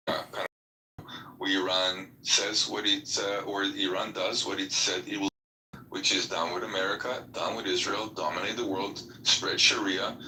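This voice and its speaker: Low-voiced, assertive man debating. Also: sharp tone. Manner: assertive, argumentative, with many restarts. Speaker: debate participant. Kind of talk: heated online debate. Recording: echoey room, distant from mic, faint noise.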